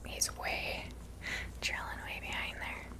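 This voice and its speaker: A woman whispering ASMR video game commentary in a breathy voice, softly musing to herself. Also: slow pace. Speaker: video game player and streamer. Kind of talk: whispered ASMR gameplay commentary with reading aloud.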